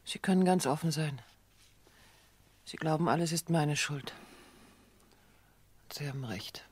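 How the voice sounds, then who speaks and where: An actress playing a guilt-ridden, bitter grown daughter in a radio play, with a low, husky, subdued voice. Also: female voice, unhurried. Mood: guilty, subdued. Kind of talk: German radio play acting.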